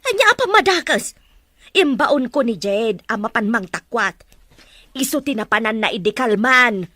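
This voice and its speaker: A woman playing an indignant, meddling mother who is quick to scold in a family melodrama. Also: a little high, animated, sharp tone, no echo. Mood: gossiping, indignant. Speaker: actor. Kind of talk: melodrama dialogue.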